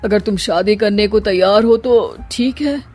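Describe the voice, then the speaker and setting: A woman's voice: medium pitch, measured pace, a little mellow, soft background music, lightly acted. A woman voicing a frustrated middle-aged father in a cartoon story, blunt, bitter and complaining. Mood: weary, resigned.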